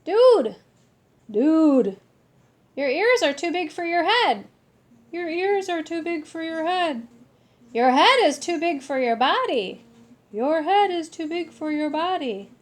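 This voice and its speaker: Storybook kitty boy who thinks he's a Chihuahua astronaut, played by a woman: high, excitable, bold, exclamatory. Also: slow, full character voice. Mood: indignant, excited. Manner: exclamatory, bold, playful slangy speech.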